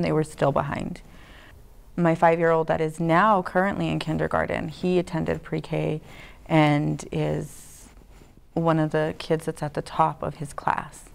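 A woman's voice: deep voice, gravelly, a little mellow, clear, resonant tone. A young woman who is a parent on a studio panel, giving an earnest personal account of her children. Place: dark TV studio set. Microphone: lapel mic.

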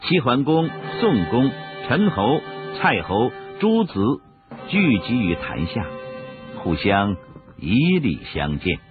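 A man's voice: mid-range voice, big pitch swings, slow. A man narrating a historical radio drama in a scripted, dramatic storytelling style. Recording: phone-like radio recording over music.

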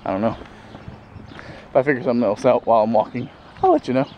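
A man's voice: mid-range voice, fast, dull audio. Man, 30s, casual vlog, handheld camera at arm's length, outdoors, chuckling, rambling. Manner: rambling, many fillers, chuckles often. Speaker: vlogger. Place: outdoors in a sunny backyard. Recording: faint outdoor background noise.

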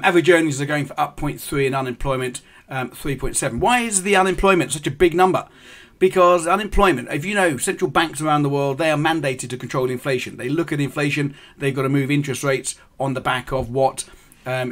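A middle-aged man gives live commentary on currency markets to his stream viewers. He explains things step by step, pausing often on ums and starting sentences over. He sounds like he is in a small indoor room, speaking into a desk microphone. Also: medium pitch, fairly bright tone. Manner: explanatory, halting with many ums and restarts. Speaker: forex trading host.

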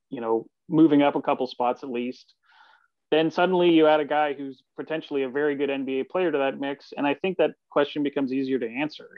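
A man's voice: mid-range voice, no room sound. A man in his forties giving conversational sports analysis as a guest over a video call. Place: room at home, video call. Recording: slightly dull video call audio.

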